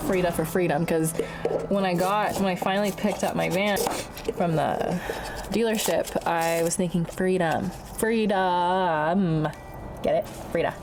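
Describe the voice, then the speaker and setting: A woman's voice: on the low side, noisy background. A woman who is under the weather, chatting informally and with some self-deprecation as she vlogs a DIY project. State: ill with a bad cold. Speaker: DIY van build vlogger. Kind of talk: casual chat to camera.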